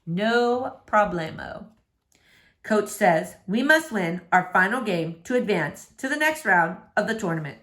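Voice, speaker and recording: A woman's voice: mid-range voice, animated, measured pace, fairly bright tone. Woman reading a verse novel aloud. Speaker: book reader.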